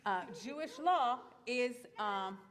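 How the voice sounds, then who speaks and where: A woman's voice: fairly high pitch, expressive, takes it slowly, faint music behind. A woman from Israel, a Hebrew speaker, explaining things to a youth group in a chatty, informal way with plenty of fillers. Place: synagogue sanctuary. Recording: echoey hall.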